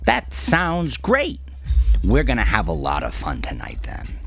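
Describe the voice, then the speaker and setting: A man's voice: fairly low pitch, huge pitch swings, a little slow, gravelly, noisy background, voice pushed up, exaggerated voice. A man voicing a cruel, gleefully sadistic gangster in an audio story, taunting and jeering with plenty of profanity. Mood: mocking.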